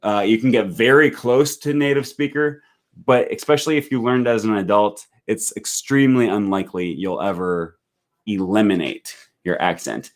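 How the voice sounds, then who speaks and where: A man's voice: on the low side, moderate pace. An American man hosting a language-learning live stream, thinking aloud with frequent fillers and restarts as he reads viewer comments. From United States.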